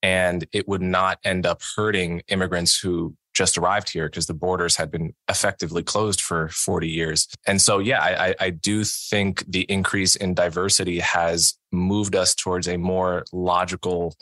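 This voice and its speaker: Deep-voiced man debating. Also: level tone, moderate pace, hardly any pauses, pushed and bright, dry recording. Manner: pointed, argumentative. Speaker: podcast host and debater. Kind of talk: formal debate rebuttal.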